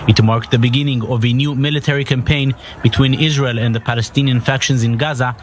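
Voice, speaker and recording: A man's voice: mid-range voice, fairly even intonation, quick pace, very noisy. A man reading a scripted news report as a narrator.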